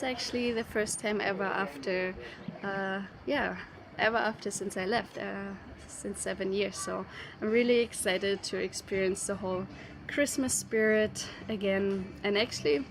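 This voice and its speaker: Slow, thoughtful woman vlogging. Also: mid-range voice, background noise. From Germany. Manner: thoughtful, unhurried, with frequent pauses and fillers. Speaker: travel vlogger. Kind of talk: casual talk to camera. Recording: music playing underneath.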